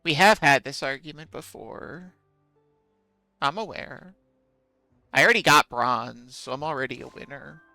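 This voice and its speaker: A man's voice: medium pitch, animated, unhurried, gravelly, pauses often, sharp tone, no echo, soft background music. A man streaming a game, chatting casually with viewers in a musing, self-deprecating way.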